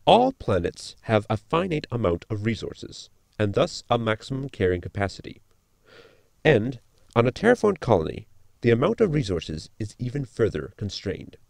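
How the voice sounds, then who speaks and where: An actor playing an articulate, bookish science officer in a sci-fi comedy audio drama, speaking formally and precisely. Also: male voice, mid-range voice, very animated, moderate pace, creaky, darkish tone, dry recording. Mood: earnest, analytical. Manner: formal, precise, long-winded.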